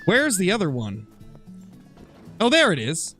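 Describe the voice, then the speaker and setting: A man with a somewhat high-pitched voice talks casually on a gaming livestream. He thinks aloud in a conversational way while replying to viewers. Faint music plays behind him. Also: wildly swooping pitch, unhurried, sharp tone. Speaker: live streamer, gamer. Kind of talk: casual livestream chat over gameplay, answering viewer comments.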